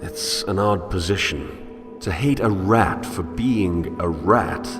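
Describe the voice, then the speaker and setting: Deep-voiced, brooding philosophical loner. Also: male voice, slow. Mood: musing, wry. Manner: musing, introspective, formal literary phrasing. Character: brooding, philosophical male loner narrating his wanderings. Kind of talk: scripted first-person game monologue. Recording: long echoing reverb under music.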